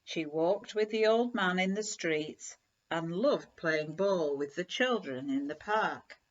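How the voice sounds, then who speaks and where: A woman reading a children's story aloud in an animated, measured storytelling manner. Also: lowish voice. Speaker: story reader. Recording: slightly dull, lo-fi recording.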